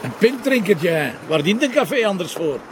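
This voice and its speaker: An actor with a Flemish accent playing a cocky, sardonic middle-aged police commissioner in a TV crime drama, speaking colloquially with a gravelly voice. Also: male voice, high voice, big pitch swings, normal speed. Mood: jovial, probing. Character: cocky, hard-drinking, teasing male police commissioner. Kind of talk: naturalistic TV crime drama dialogue.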